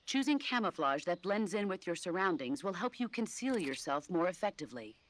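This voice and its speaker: A woman playing a composed mission support officer in a video game, explaining things clearly, patiently and firmly. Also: lowish voice, very animated, fairly quick. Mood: instructive. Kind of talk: video game tutorial briefing.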